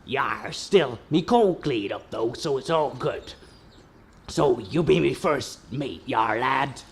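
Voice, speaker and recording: Creaky, hearty old captain. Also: male voice, medium pitch, expressive, slow pace. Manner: hearty, drawling pirate talk. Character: gruff, cheery one-eyed old pirate sea captain. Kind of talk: comic radio sketch acting.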